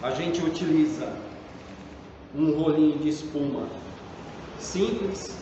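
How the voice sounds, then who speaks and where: A man with a Brazilian accent giving a hands-on tutorial in a deliberate, step-by-step explanatory way. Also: fairly high pitch. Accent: Brazilian Portuguese. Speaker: tradesman demonstrating door painting. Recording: dull, echoey, reverberant room with background noise.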